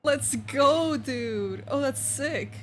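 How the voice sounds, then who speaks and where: A woman's voice: high-pitched, extremely slow, slight background noise. Casual woman streaming a game. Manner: informal, slangy, conversational. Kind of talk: casual live gameplay reaction commentary.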